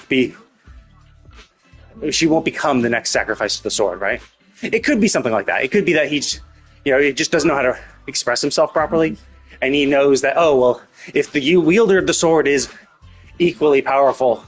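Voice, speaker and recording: A man's voice: medium pitch, breathy edge, low-quality recording. Man rambling casually over background music. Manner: rambling, thinking aloud, repeating and rephrasing himself. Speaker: live streamer. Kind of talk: casual speculative game commentary.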